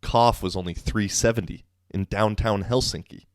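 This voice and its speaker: Young male podcast host, low-voiced and dry-miked, loose profane storyteller. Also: fairly even intonation, moderate pace, dry recording. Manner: loose, profane, rambling with many fillers. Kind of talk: casual storytelling monologue.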